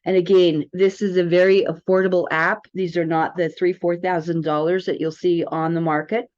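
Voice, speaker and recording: A woman's voice: lowish voice, little pitch movement, normal speed, a little mellow. A woman giving a casual product tutorial as a presenter, chatting informally and rambling a little. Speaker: presenter demonstrating a wellness app. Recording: dull, lo-fi audio.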